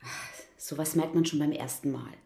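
A woman's voice: low-pitched, fairly even intonation, normal speed, gravelly, a little mellow, reverberant. A woman playing a blunt, easygoing sex worker in a radio play, talking in an offhand way.

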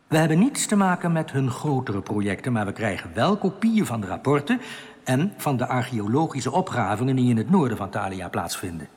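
A man's voice: mid-range voice, sing-song, brisk, a little mellow, natural voice. Solemn rebel played by a man in cavernous reverb. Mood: grave explaining. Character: solemn male underground rebel giving grave warnings. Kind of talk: radio drama acting. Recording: long echoing reverb.

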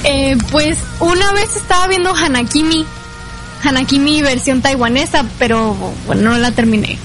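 A woman's voice: high-pitched, moderate pace, music in the background. A woman with a Mexican accent chatting casually as an interview guest, tentative and a little unsure in her answers. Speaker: interview guest, amateur fandub singer.

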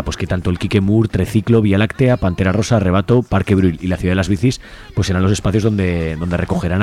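A man's voice: low-pitched, darkish tone. A man hosting a radio interview in an informal, jokey way, often checking in with question tags. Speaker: radio show host.

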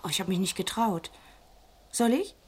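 A woman's voice: medium pitch, animated, moderate pace, lightly acted. An actress playing an uneasy, trusting woman in a German radio crime drama, hesitant and questioning but obedient. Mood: puzzled, uneasy. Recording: noisy vintage radio play recording.

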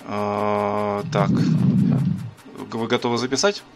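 A man's voice: lowish voice, steady tone, slow, creaky, darkish tone, faint music behind. A man making prank calls, mocking and crude, swearing freely. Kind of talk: prank phone call.

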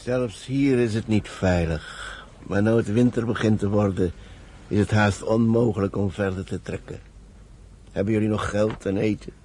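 A cautious older rabbi played by a man in a radio drama, with a fairly low voice. He counsels in a grave, deliberate, admonishing way. There is some noise on the old recording. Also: fairly even intonation, darkish tone, close to an everyday voice. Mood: grave, concerned. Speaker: actor in a radio play. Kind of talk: Dutch radio drama acting.

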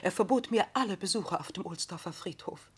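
An actress in a radio play playing a desperate, self-dramatising remarried widow, husky, solemn and insistent. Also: female voice, medium pitch, expressive, brisk. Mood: indignant. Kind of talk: German radio play acting.

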